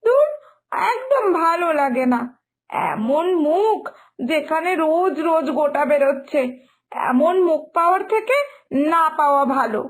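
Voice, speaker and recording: A woman's voice: high voice, animated, a little slow. A woman voicing a sulky young sister-in-law in a cartoon story, complaining in a pouting, dramatic way. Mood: sulky, whining. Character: whiny, sulky young sister-in-law fretting over her looks. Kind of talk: Bengali animated moral story dialogue. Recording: music behind the voice.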